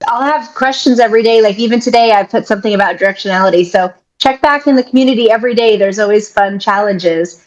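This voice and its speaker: A woman co-hosting a casual live chat, quick to agree and often giggling. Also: medium pitch, highs cut off. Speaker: co-host and community manager.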